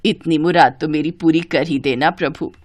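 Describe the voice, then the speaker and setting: Woman playing a low-voiced teasing Indian mother. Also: steady tone, normal speed, no echo. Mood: mock scolding, pleading. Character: middle-aged mother and mother-in-law, teasing, fond, gently scolding.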